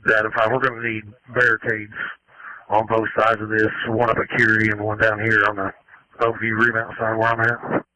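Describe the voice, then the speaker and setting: A male police officer speaks through a two-way radio in quick, urgent bursts. The tone is extremely bright and hard, fairly flat in pitch, with a dry, close sound. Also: no room sound, poor audio.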